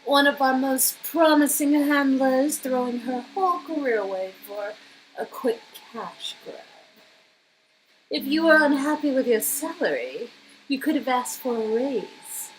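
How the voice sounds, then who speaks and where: A woman playing a cool, condescending boss with a measured, patronising delivery in a story-game reading. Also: sits high, lively intonation, slight background noise, pitched below the performer's own voice, poor audio. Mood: disappointed. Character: cool, condescending female boss rebuking an employee. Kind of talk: amateur dialogue reading of an interactive story game. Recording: music under the voice.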